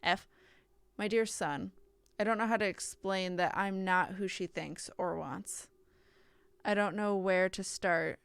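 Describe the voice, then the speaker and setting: A woman's voice: medium pitch, steady tone, unhurried, creaky, a bit bright, clean tone, no room sound. A woman streaming a visual novel, reading the text aloud with casual asides and often stumbling and correcting herself.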